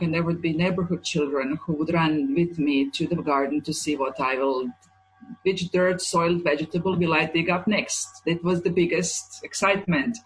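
A woman in her forties from Croatia, an author answering interview questions in a thoughtful, halting way. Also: lowish voice, poor audio. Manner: thoughtful, halting, searching for words. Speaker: children's author, guest.

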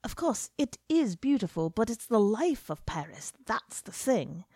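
A woman voicing a loud, worldly male journalist who brags jovially, in a dry recording. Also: medium pitch, animated, measured pace, husky, no room sound, voice pushed up. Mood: swaggering. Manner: brash, swaggering, jovial. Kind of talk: audiobook character reading.

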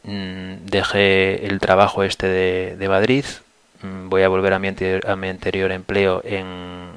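Hoarse, gravelly low-voiced man. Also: measured pace, no room sound. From Asturias, Spain. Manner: relaxed, rambling, many fillers and restarts. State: hoarse from a flu. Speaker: podcast host. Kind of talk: casual solo podcast monologue. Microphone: headset mic on a computer.

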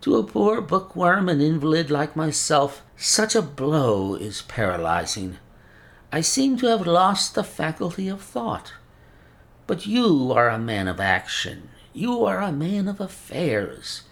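A man's voice: on the high side, big pitch swings, a little slow, vocal fry, voice pushed up, heavily acted. A man voicing a frail, chain-smoking old male scholar who speaks in a precise, mincing way and laments effusively. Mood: lamenting.

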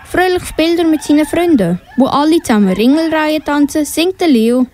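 Young female narrator for a children's audio story, high voice. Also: animated, moderate pace, darkish tone, slight background noise.

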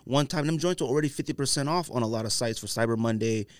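A man's voice: mid-range voice, quick pace, dry recording. A man hosting a casual podcast, talking in an informal, opinionated way with plenty of slang. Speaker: sneaker podcast host. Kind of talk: casual banter and opinionated sneaker commentary. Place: podcast studio.

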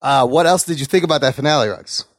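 A man's voice: medium pitch, fast talker, no echo, clean studio sound. A man co-hosting a casual pop culture chat, talking with enthusiasm and plenty of swearing. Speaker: podcast co-host.

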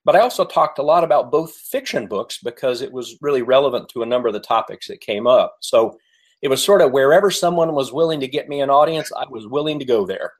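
An American man speaking as a podcast guest in a conversational interview, measured and polite with pauses. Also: medium pitch, expressive. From United States. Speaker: podcast guest, author and coach.

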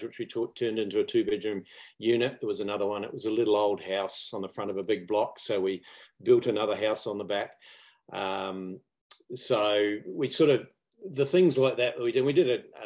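A middle-aged man with a New Zealand accent and a slightly low voice. He talks casually as an interview guest, laid-back and self-deprecating, with halting phrasing. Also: a little mellow. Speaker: interview guest, property investor student. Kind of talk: casual anecdotal chat. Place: live video call online.

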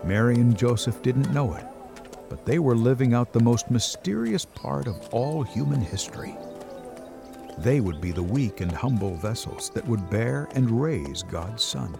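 A man's voice: mid-range voice, lively intonation, unhurried, vocal fry. A man narrating a scripted story as a storyteller. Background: music.

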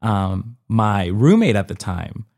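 A young man with a fairly low voice, speaking as a guest in a relaxed interview. He is from Colombia and tells personal stories in an informal, halting way, often restarting and rewording as he goes. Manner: informal, hesitant and self-correcting, often seeking agreement with "you know". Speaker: interview guest, comedian and former dancer. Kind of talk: casual conversational interview, personal storytelling.

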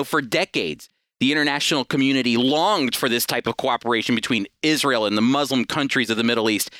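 A man's voice: a little high, bright, forceful tone, dry recording, studio quality. A man teaching the Bible on radio in a conversational, earnest and emphatic way.

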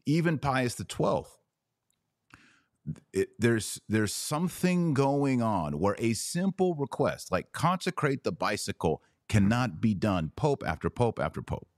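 A man's voice: medium pitch, very animated, unhurried, dry recording, clean studio sound. A man hosting a conversational religious debate podcast, arguing emphatically with hypothetical examples. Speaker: podcast host.

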